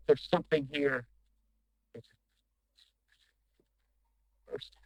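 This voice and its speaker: A man's voice: a little high, no echo, low-quality recording. A man playing a desperate, shaken corporate agent stranded after a crash, stammering and pleading in a recorded sci-fi distress message. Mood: frightened, urgent.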